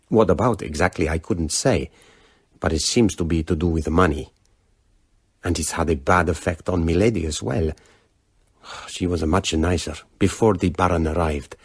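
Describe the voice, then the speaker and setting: Deep, husky, confiding male courier. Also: a little mellow, no room sound, studio quality. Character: wary, gossipy male courier voicing his letter. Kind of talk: radio drama letter narration.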